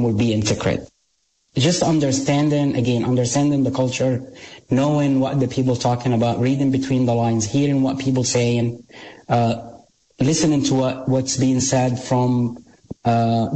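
Man, middle-aged, Egyptian-born, native Arabic speaker, plain-spoken, restarts often, mid-pitched voice. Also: warm tone, poor audio. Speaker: guest, former intelligence operative. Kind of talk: storytelling in an interview.

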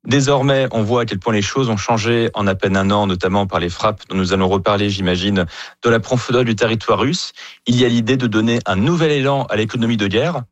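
A man's voice: on the low side, brisk, dry recording, dull audio. A man with an accent from France, giving analytical answers as an expert guest. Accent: French from France. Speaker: geopolitics professor, expert guest.

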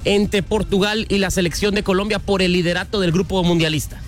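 A Guatemalan man doing quick street interviews with football fans for radio, chatty and finishing answers for them. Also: high voice, steady tone, soft background music. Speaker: radio reporter. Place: outdoor fan festival.

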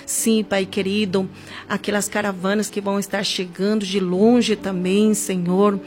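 A woman with a Brazilian accent leading a fervent, improvised evangelical prayer, with repeated invocations and drawn-out emphatic words. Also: medium pitch, little pitch movement, a little mellow. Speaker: church prayer leader. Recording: music playing behind the voice. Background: background music.

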